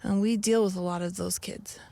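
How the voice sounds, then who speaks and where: A woman, an officer with a charity, answering interview questions earnestly, with halting restarts and fillers. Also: medium pitch, steady tone, vocal fry, mellow. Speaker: Salvation Army officer.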